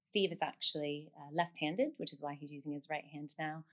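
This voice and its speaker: A woman with a low voice explains a technique step by step in the manner of a speech therapist. She is encouraging and affirming as she instructs. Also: fairly even intonation, normal speed.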